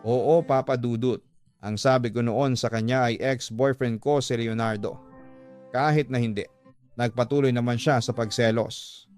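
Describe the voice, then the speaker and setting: A man's voice: mid-range voice, fairly even intonation, moderate pace, darkish tone, faint music behind. A man narrating a scripted dramatic letter as the host of a radio drama.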